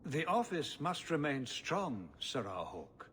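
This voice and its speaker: An actor playing a careworn, formal older city ruler in a video game, stately and politely pleading. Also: male voice, on the high side, very animated, takes it slowly, a bit bright, a little hiss or hum, heavily acted. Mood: weary, diplomatic. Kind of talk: video game cutscene acting.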